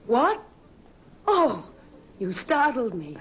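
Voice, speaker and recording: A woman's voice: a little high, wildly swooping pitch, drawn-out words, breathy edge, heavily acted. A woman playing a brash, sassy young countrywoman in an old-time radio drama. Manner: sassy, blunt. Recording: muffled, noisy old radio recording.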